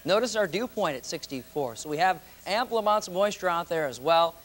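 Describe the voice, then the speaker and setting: A man's voice: high-pitched, expressive, a little fast, a bit bright. A man presenting a live TV weather forecast as a meteorologist, in a conversational, ad-libbed way.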